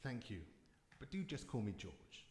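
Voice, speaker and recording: A man's voice: medium pitch, sing-song, normal speed, a little mellow, natural voice. A man playing a modest, genial, polite medical professor in a live radio drama. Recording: echoey hall with faint noise.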